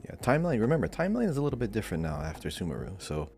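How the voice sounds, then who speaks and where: A very low-voiced man talks over background music. He is a podcast co-host, explaining game lore in a casual, conversational style. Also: wildly swooping pitch, racing, a little mellow. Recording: music playing behind.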